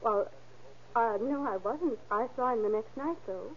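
A woman's voice: a little high, a little slow. An actress playing an earnest young woman insisting on her innocence in an old radio drama. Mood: nervous. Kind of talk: 1930s radio acting. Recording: muffled old radio recording.

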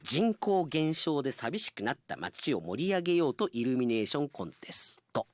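A man's voice: medium pitch, very animated, normal speed, no room sound. A man hosting a radio show, chatting casually with conversational asides as he reads out listener mail. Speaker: radio announcer and show host.

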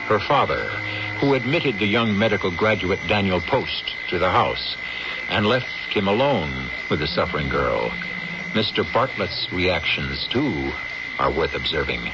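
An actor as the measured, knowing storyteller host of an old-time radio mystery drama, narrating formally. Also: male voice, low voice, very animated. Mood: measured, intriguing. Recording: muffled, very noisy radio broadcast recording.

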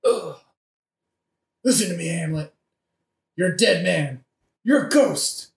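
Guy playing a dying bro out for revenge, slow n croaky, sorry about it, slangy audio play, echoey. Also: high voice, lively intonation, exaggerated voice. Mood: pained, grave. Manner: slangy, drawn-out. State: gasping, coughing, dying. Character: mortally wounded young avenger, remorseful modern bro. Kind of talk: modern-language comedic audio play acting. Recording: roomy, echoing recording.

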